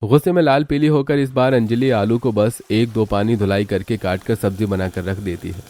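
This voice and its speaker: A man's voice: on the low side, steady tone, moderate pace, warm tone. A man narrating a scripted family cartoon story. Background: background music.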